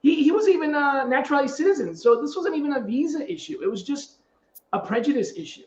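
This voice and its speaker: A middle-aged man with a high-set voice tells stories in a relaxed, conversational way as a podcast host. The sound is roomy and slightly muffled, as if the microphone misses some of the detail. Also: quick pace. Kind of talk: casual conversational anecdotes.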